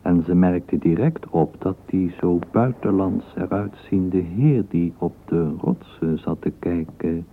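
An actor playing a measured, wry storyteller, narrating a literary radio drama in a husky voice. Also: male voice, low-pitched, slight background noise. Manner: deliberate, measured phrasing. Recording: tinny, narrow-band old radio recording.

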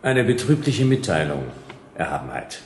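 A man's voice: low voice, very animated, normal speed. An actor playing a guarded male undercover detective in a German radio drama, laconic and a little hesitant. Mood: guarded, dry. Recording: echoey room with faint noise.

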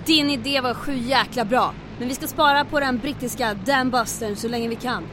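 A woman's voice: a bit bright, some chatter behind, lightly acted. A woman acting a bold, commanding, unflappable aeroplane captain in an adventure radio drama. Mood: confident. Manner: brisk, commanding, confident. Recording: aircraft engine rumble.

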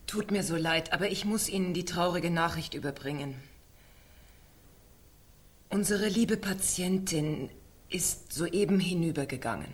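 An actress playing a soft-spoken nurse in a German radio drama, hushed, formal and sympathetic. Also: female voice, lowish voice, unhurried, pauses often, close to an everyday voice. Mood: hushed, sorrowful. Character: soft-spoken nurse gently announcing a death.

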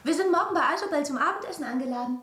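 An actress in a German radio drama playing an anxious, guarded wife who is quietly suspicious of her husband. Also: sits high, lively intonation, very fast, reverberant. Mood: guarded, probing.

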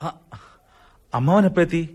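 A man's voice: on the high side, animated, normal speed, darkish tone, slightly rough. A man acting an impatient, suspicious young husband in a radio drama, brusque and questioning. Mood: brisk, polite.

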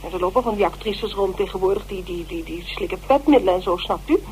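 Prim older theatre dresser played by an actress. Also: female voice, medium pitch, fast, crowd noise, natural voice, rough recording. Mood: hesitant, mournful. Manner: hesitant, mournful, disapproving. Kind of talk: radio drama acting.

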